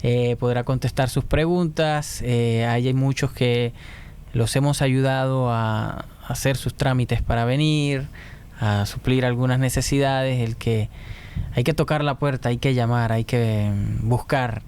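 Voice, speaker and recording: A man hosting a casual church talk show, chatting warmly with guests and hesitating often. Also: mid-range voice, fairly even intonation, measured pace, clean tone. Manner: friendly, chatty, frequent hesitations. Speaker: host. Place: church studio.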